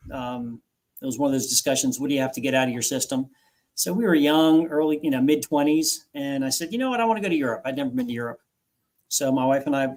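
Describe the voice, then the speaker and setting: A man's voice: medium pitch, low-quality recording. A man in his forties, a guest author recounting his own story in an interview, earnest and reflective, often restarting phrases.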